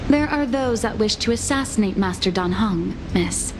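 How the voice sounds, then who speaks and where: A woman's voice: mid-range voice, animated, moderate pace, background noise, close to an everyday voice. A woman playing a dutiful, deferential attendant to nobles in a video game, formal and courtly. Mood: reporting.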